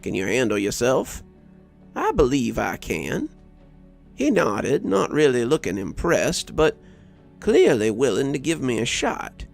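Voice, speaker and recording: Guy reading a story, reflective, gravelly creak in his voice, pitch moves around a lot, faint music. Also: huge pitch swings, a little slow. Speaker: narrator reading a horror story. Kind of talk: scripted first-person story narration.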